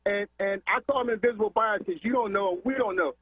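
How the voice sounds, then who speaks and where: A high-pitched man rants over a tinny phone line with a husky edge to his voice. He is from Philadelphia, calling into a talk show, and he stacks up repeated words and rhetorical questions as he presses his point. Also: fast talker, dry recording, faint music behind. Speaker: caller. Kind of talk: call-in radio rant.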